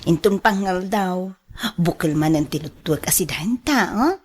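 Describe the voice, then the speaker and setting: An actress with an Ilocano Filipino accent playing a flirtatious, possessive mistress in a coaxing radio drama style. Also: female voice, lowish voice, lively intonation, normal speed, breathy edge. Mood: coaxing, playful. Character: flirtatious, possessive mistress clinging to married lover.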